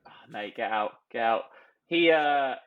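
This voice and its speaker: A man guesting on a podcast, talking in excitable, self-mocking bursts. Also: medium pitch, unhurried, phone-quality sound. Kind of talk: casual chat.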